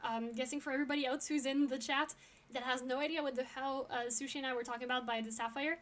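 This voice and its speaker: A woman's voice: sits high, fairly even intonation, fast. A young woman from France chatting casually with her live stream viewers, talkative with frequent ums and little laughs.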